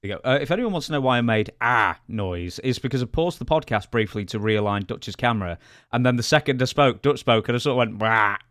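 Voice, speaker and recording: A man's voice: medium pitch, expressive, fast talker, fairly bright tone, no room sound, studio quality. A man co-hosting a podcast in casual chat, talking in a chatty way and often interrupting himself with asides. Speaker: podcast co-host.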